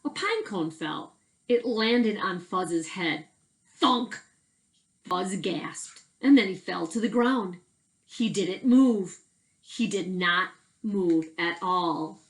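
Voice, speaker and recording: Female teacher, children's read-aloud: slow, deliberate, emphatic, widely varied intonation. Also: medium pitch, expressive. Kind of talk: expressive children's storybook narration.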